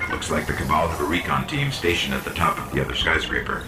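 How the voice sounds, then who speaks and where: A man's voice: rumbling low, fairly even intonation, fast talker, very noisy. An actor playing a small, curious male robot AI companion in a video game, speaking in earnest, clipped remarks. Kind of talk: video game cutscene voice acting.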